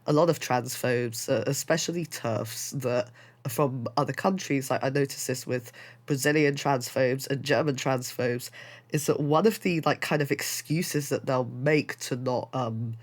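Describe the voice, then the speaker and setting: A man's voice: medium pitch, normal speed. A man hosting a podcast in casual chat, talking with lots of fillers and frequent laughs. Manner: chatty, laughs often, many fillers. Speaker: podcast host.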